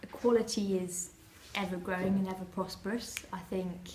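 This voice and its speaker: A woman from Kent, giving measured, articulate interview answers. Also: medium pitch, steady tone, mellow, slight background noise. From Kent, England. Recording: echoey room.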